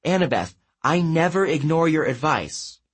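A man's voice: high-pitched, wildly swooping pitch, slow pace, a bit bright, breathy edge, pitched above the performer's own voice, full character voice. A man voicing a brave young heroine in a storybook, firm, soothing and determined.

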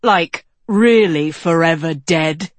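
A woman's voice: deep voice, slow, no room sound. A proud young king played by a woman narrator talks bluntly and defensively, with a scornful edge. It is a fantasy storybook character, recorded dry. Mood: scoffing.

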